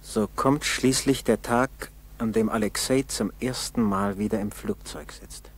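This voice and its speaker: A man narrating a 1960s German school radio play in a solemn, measured, scripted style. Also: mid-range voice, steady tone. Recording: 1960s radio tape with background noise.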